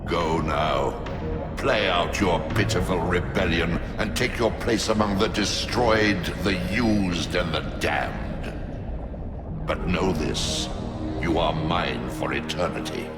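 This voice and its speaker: An actor playing an ancient devouring god in a video game, imperious, menacing and grandiose. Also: male voice, sits low. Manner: grandiose, slow, commanding. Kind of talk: theatrical video game voice acting. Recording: echoey cavernous reverb with music.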